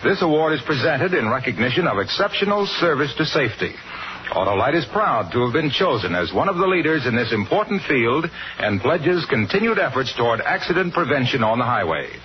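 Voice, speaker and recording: A man's voice: mid-range voice, big pitch swings, brisk, slight background noise. An American man reading scripted copy as a commercial radio announcer, with a polished, emphatic 1950s sales delivery. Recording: muffled old radio broadcast, music behind.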